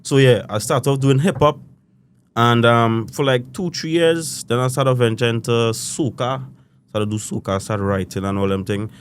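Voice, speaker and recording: A young Trinidadian man with a Trinidadian accent, talking about music in a casual, meandering way with lots of "you know". His voice sits in a middle pitch. Faint noise sits underneath him. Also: normal speed. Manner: rambling, loose island phrasing, frequent "you know". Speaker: guest musician. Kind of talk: casual interview chat.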